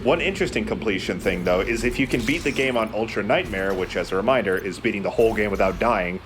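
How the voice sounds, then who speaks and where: A man's voice: on the low side, fast, background noise. A man giving wry, rambling, sweary commentary as he plays a video game. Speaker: let's play host and player. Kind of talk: casual gameplay commentary.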